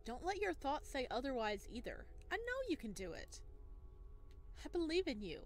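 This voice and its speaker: A woman voicing a supportive, gentle, reassuring friend in a visual novel. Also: high voice, big pitch swings, normal speed, a little hiss or hum, voice pushed up. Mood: encouraging. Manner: encouraging, reassuring.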